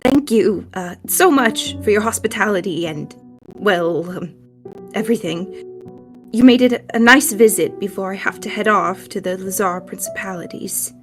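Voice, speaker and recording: A woman voicing a timid, polite young tiefling man in a tabletop game, halting and eager as the words come out. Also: medium pitch, faint music behind, lightly acted. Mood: flustered, grateful.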